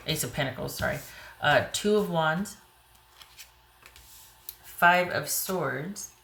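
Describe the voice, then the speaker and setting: A woman reading tarot cards to camera in a casual way, a little hoarse, thinking aloud as she pulls the cards. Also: sits low, takes it slowly, fairly bright tone, reverberant. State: slightly hoarse. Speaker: tarot reader.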